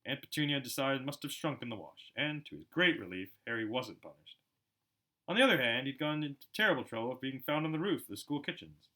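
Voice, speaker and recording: Animated, bright-voiced man reading a story. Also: mid-range voice, fast. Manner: animated, dramatic. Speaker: amateur audiobook reader. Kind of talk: expressive storybook narration.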